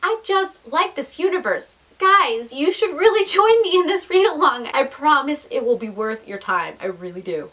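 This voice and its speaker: A woman's voice: sits high, pushed and bright. A young adult woman chatting casually to viewers as a book vlogger, enthusiastic and emphatic, with self-deprecating asides.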